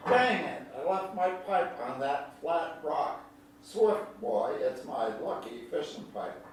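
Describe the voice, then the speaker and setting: A man's voice: a little high, sing-song, slow. A man playing a folksy, protective older country fisherman with a drawling rural American accent in a staged play reading. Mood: folksy, steady. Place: indoor venue, staged reading. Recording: very echoey room from far off, noisy.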